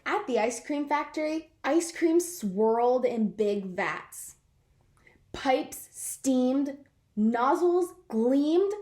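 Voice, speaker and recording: A woman reading a picture book aloud to children in an expressive way, drawing out words for effect. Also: sits high, drawn-out words. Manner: animated, draws out words for effect. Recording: roomy, echoing sound.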